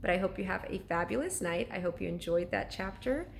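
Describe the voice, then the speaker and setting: A woman reading a children's story aloud like a teacher to pupils, with expressive, deliberate narration. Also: medium pitch, gravelly, slight background noise.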